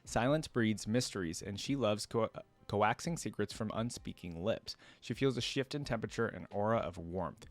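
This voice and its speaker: A man doing informal reaction commentary, switching between casual slangy remarks and reading story text aloud. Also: fairly low pitch. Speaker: reaction video creator.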